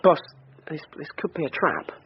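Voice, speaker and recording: A man's voice: fairly high pitch, big pitch swings, moderate pace, vocal fry. A man with a British accent playing an earnest junior police detective in a naturalistic radio drama. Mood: uneasy. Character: junior male police sidekick, computer-savvy, earnest. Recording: dull radio drama sound, faint noise.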